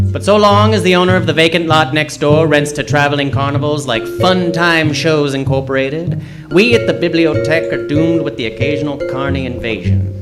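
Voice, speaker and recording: An actor playing a world-weary, wisecracking library detective in a deadpan noir radio drama style. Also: male voice, a little high, lightly acted. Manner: wry, deadpan. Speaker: narrator and lead character. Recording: music playing behind the voice.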